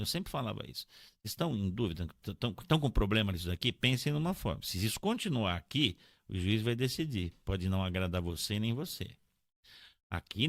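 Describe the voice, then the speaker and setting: A man's voice: mid-range voice, a bit bright, dry recording, clean studio sound. A middle-aged man with a São Paulo Brazilian accent telling his story in an interview, emphatic, repeating phrases and checking he is understood. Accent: São Paulo Brazilian Portuguese. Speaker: podcast guest, pharmaceutical sales representative. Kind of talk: conversational personal storytelling in an interview.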